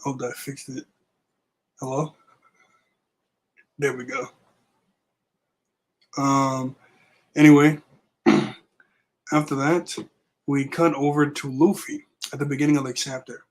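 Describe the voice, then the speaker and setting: A young man with a raspy voice left over from being sick, casually recapping a manga chapter with lots of fillers. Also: mid-range voice, fairly even intonation, slow pace, long pauses, low-quality recording. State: raspy voice recovering from illness. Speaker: manga review vlogger. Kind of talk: casual chat.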